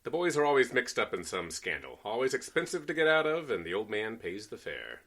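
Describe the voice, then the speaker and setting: A man playing a brisk, skeptical, wry newspaper reporter in an old-time style radio play. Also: mid-range voice, wildly swooping pitch, pushed and bright, exaggerated voice. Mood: wry, dismissive.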